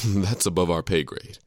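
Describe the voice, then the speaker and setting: An actor playing a callous, businesslike doctor in an audio drama, speaking curtly with a husky voice. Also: male voice, deep voice, slow pace, darkish tone, dry recording, studio quality. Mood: cool detachment. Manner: curt, matter-of-fact. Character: callous, businesslike male doctor working with mercenaries.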